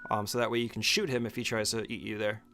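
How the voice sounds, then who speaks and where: A man's voice: lowish voice, level tone, quick pace, bright, forceful tone, faint music behind. Casual man talking through a game speedrun. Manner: informal, swears casually. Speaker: speedrunner giving a tutorial. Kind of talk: casual game walkthrough commentary.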